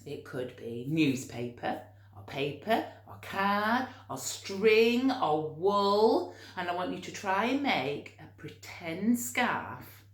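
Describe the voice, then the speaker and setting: A woman teacher reading and talking to young children in an expressive, encouraging way. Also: deep voice. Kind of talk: storytime read-aloud and teaching for young children. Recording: roomy, echoing home recording.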